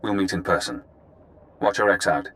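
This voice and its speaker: An actor playing a brisk intelligence contact in a video game, giving terse instructions. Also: male voice, sits low, fairly bright tone, a little hiss or hum. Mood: businesslike. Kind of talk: video game dialogue acting.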